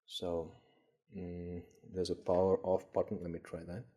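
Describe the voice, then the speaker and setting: Low, husky-voiced man thinking aloud. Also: completely flat delivery, unhurried, dark tone. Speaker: gadget collector and video host. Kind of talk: casual unboxing demonstration, thinking aloud.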